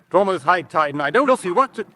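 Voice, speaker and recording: An actor playing an irritable, blustering uncle in an old-time radio drama, impatient and grumbling. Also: male voice, high-pitched, lively intonation, quick pace, sharp tone.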